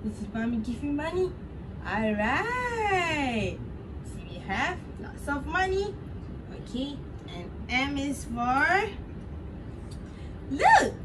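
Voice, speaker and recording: A young woman teacher with a Singaporean accent speaks to small children in a high, very lively voice. She uses simple, encouraging phrases with exaggerated emphasis. The sound is picked up from a distance, with clear room noise. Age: young adult. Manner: exaggerated, encouraging sing-song delivery with short simple phrases. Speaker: preschool teacher at a children's gym. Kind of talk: interactive lesson for young children. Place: indoor padded children's gym. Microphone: camera a few metres away.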